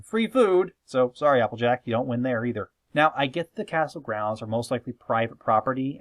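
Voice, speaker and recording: Animated man giving scripted commentary. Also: mid-range voice, no echo. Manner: emphatic, conversational, playfully sarcastic. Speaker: host of a fan opinion video.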